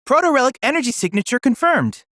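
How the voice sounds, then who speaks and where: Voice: sits high, very animated, fast talker, fairly bright tone, dry recording, studio quality. An actor voicing an earnest, brainy boy-like android assistant in a video game, youthful-sounding and speaking in formal, precise, bookish phrases. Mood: helpful, eager. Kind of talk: video game voice acting, scripted dialogue.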